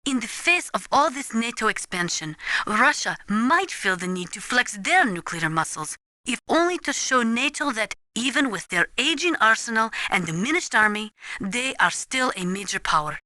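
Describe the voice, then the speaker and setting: A woman playing an earnest, resolute Ukrainian weapons analyst in a video game, speaking gravely and deliberately as if lecturing. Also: a little high, very animated, pushed and bright, dry recording. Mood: grave, impassioned. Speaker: military advisor briefing a soldier. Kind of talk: dramatic video game voice acting.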